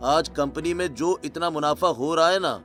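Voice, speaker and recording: Man playing a devout brother, slightly high. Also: moderate pace, a bit bright, faint music behind, lightly acted. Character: devout, agreeable middle-aged younger brother.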